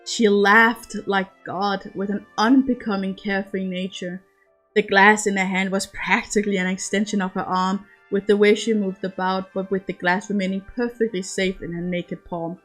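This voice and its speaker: A woman's voice: mid-range voice, fairly even intonation, moderate pace, a bit bright, soft background music. A woman narrating a piece of fiction as an amateur audiobook-style reader. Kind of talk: scripted story narration.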